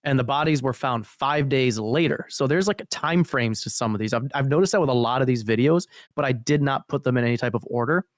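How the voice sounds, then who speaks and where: A man's voice: mid-range voice, fairly quick, hardly any pauses, no echo, studio quality. A man co-hosting a podcast, chatting about horror videos in a blunt, jokey, sweary style. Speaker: podcast co-host. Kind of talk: casual joking chat about horror videos.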